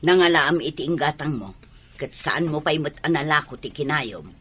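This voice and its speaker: A woman playing a plain-spoken, inquisitive mother with a husky voice in an audio drama. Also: low-pitched, animated, moderate pace, fairly bright tone, heavily acted. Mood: questioning. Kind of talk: Filipino radio-style drama acting.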